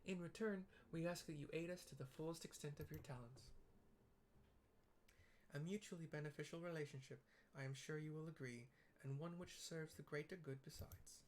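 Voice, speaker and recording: A man's voice: fairly high pitch, moderate pace, close to an everyday voice. A man voicing a formal, courtly young lady leader from a video game in an attempted British accent, now and then stumbling over the words. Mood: formal, gracious. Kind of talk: amateur read-aloud of game dialogue. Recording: clearly audible background noise.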